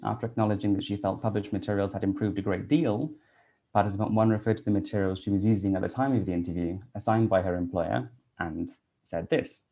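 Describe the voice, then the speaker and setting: A man in his thirties from Wales, a teacher and researcher giving a prepared conference talk in a measured, read-out way with soft "um" fillers. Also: on the low side, fairly even intonation, dull audio.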